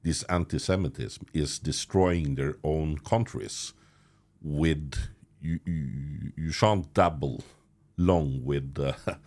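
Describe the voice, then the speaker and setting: A man's voice: rumbling low, darkish tone. A man hosting a podcast interview, speaking English with many restarts and little chuckles. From Norway. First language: Norwegian. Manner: halting, many restarts, chuckles mid-sentence. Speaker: podcast host. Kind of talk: conversational interview.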